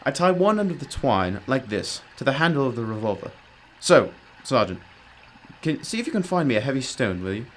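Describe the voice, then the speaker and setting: A man's voice: medium pitch, very animated, brisk, slight background noise, close to an everyday voice. A man playing a brilliant, commanding detective in a radio drama, explaining emphatically with old-fashioned courtesy. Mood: brisk, serious. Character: brilliant, commanding, self-critical male detective.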